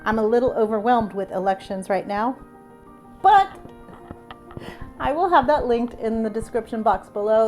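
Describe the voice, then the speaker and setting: A woman's voice: a little high, darkish tone. A middle-aged woman with a stuffy cold, chatting upbeat through a do-it-yourself craft tutorial. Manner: chatty and upbeat, exclaims over gifts. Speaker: craft vlogger. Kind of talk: casual DIY tutorial narration.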